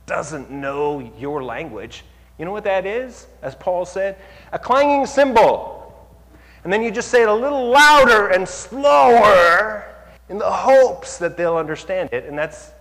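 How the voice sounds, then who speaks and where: A male pastor giving an animated sermon, asking the audience questions and rising to near-shouts to make his points. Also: high voice. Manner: asks the audience rhetorical questions. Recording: long hall reverb.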